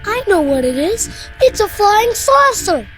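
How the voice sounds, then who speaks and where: Excited, very high-voiced little child. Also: female voice, unhurried, faint music behind, heavily acted, poor audio. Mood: excited wonder. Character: curious, excited young child gazing at the sky. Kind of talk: radio drama acting.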